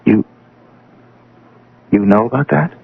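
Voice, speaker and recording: Casting: defiant, self-important scientist, low gravelly voice, resentful theatrical delivery, dull vintage radio sound. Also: male voice, slow pace, exaggerated voice. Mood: shaken. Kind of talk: old-time radio drama acting. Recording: band-limited radio drama recording.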